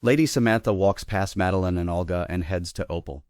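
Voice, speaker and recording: A man with a deep voice reads stage directions for a play. His scripted narration is recorded dry and close. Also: brisk, darkish tone, no echo. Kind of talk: scripted narration at a table read.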